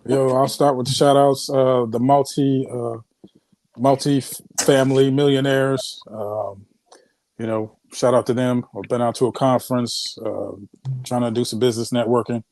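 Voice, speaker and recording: A man co-hosting a podcast, giving shout-outs in a halting way with many fillers. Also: medium pitch, steady tone, dry recording. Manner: hesitant, lots of "uh" and "um" while giving shout-outs. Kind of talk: casual podcast chat.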